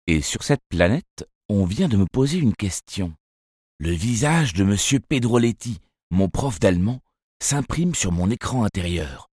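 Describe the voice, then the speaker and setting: A man reading a children's novel aloud as first-person narrator, in a measured, expressive storytelling manner. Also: lowish voice, slightly rough, no echo, clean studio sound. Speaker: audiobook narrator.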